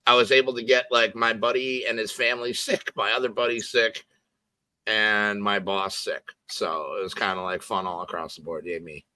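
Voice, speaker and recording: A man co-hosting a casual chat, still a little congested, rambling with many fillers and quick laughs. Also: on the low side, fairly even intonation, pushed and bright, dry recording. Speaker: co-host.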